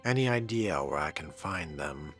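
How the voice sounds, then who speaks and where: A man's voice: a bass voice, lively intonation, moderate pace, a bit bright, faint music behind. A man narrating a video game in character, with a dry, sardonic and wordy manner. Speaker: gamer role-playing his character.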